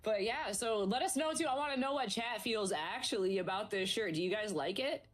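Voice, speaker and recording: A woman's voice: mid-range voice, lively intonation, fairly quick. A woman casting an esports stream, chatting in a bubbly, upbeat way with her co-caster. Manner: bubbly, chatty, promotes merch. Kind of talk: casual esports stream commentary.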